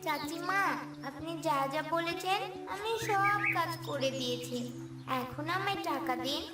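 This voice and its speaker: A woman's voice: high-pitched, unhurried. A woman voicing an earnest, anxious little village girl in a cartoon fairy tale, innocent and pleading. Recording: roomy, distant, loud music bed.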